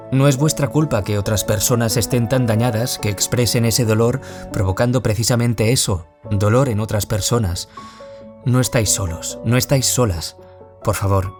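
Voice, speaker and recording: A man's voice: mid-range voice, natural voice. A man with a Peninsular Spanish accent playing an earnest, reflective author who survived bullying, in a scripted radio interview. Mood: earnest, heartfelt. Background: music.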